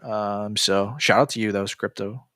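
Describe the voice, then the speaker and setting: Profane, tired crypto streamer. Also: male voice, fairly low pitch, steady tone, unhurried, pushed and bright, no room sound, studio quality. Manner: loose and profane, with many fillers and restarts. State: tired from staying up late. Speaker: crypto livestreamer reading chat. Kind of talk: casual livestream chat.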